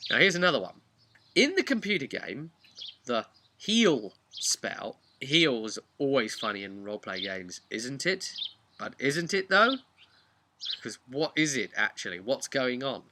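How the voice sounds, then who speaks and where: A man giving an informal, animated, chatty explanation of tabletop roleplaying rules. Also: medium pitch, measured pace, slight background noise. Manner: animated, chatty, acts out imagined player remarks. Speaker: tabletop game master giving advice.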